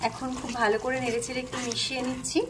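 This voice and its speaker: A woman with a Bengali accent who hosts a cooking channel, calmly talking through a recipe step by step in her home kitchen. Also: fairly high pitch, rapid-fire, noisy background. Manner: calm, plain instructional tone, listing ingredients.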